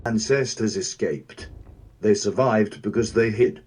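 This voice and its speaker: A man playing a cold, bitter, grandiose warrior villain in a fan-dubbed video game, speaking in a measured, contemptuous way. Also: fairly low pitch, darkish tone, slightly rough. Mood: cold contempt.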